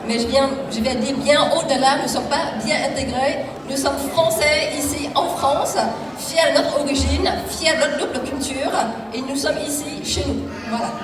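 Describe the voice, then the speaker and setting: A woman's voice: high voice, fairly even intonation, moderate pace, few pauses, noisy background. A woman giving an earnest, emphatic speech as a member of parliament at a public event. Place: large hall at a celebration. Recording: echoey hall, far from mic, crowd.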